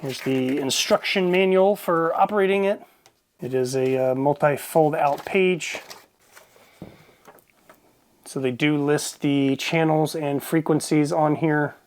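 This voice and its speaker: A man's voice: fairly high pitch, fairly even intonation, takes it slowly. A man giving a casual, plain-spoken product review, explaining things informally as he goes.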